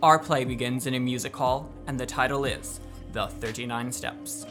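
A man's voice: medium pitch, normal speed, soft background music, natural voice. Droll, macabre radio host, acted by a young man. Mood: mock-earnest. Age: young adult. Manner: deadpan, theatrically formal. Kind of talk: old-time radio drama introduction.

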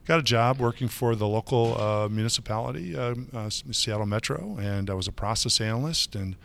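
A man's voice: medium pitch, brisk, creaky, a bit bright, slight background noise. A middle-aged man from Michigan, a guest in a casual interview, talking chattily with many fillers and restarts.

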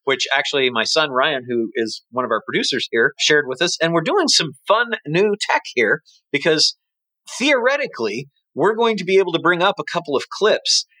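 A man's voice: on the high side, very animated, moderate pace, sharp tone, no echo, low-quality recording. A middle-aged man co-hosting a casual business podcast, rambling and often restarting his sentences. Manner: rambling, self-correcting. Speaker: podcast co-host.

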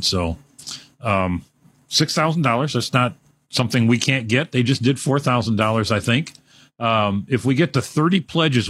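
A man's voice: lowish voice, fairly bright tone. A man, hosting a live radio fundraiser in a casual, joking way full of fillers. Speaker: radio host.

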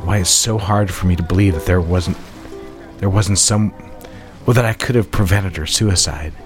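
Man acting a low-voiced, courteous, guarded husband, music behind. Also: normal speed, natural voice. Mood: tender, regretful. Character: devoted, guarded new husband with troubled past. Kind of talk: audio drama dialogue, period romance.

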